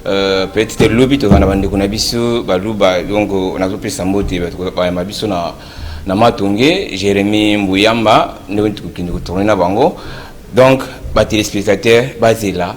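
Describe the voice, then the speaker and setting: A man's voice: on the low side, fairly even intonation, normal speed, a little hiss or hum. A man appearing as a guest in a studio chat, patiently explaining his culture segment, with frequent hesitations.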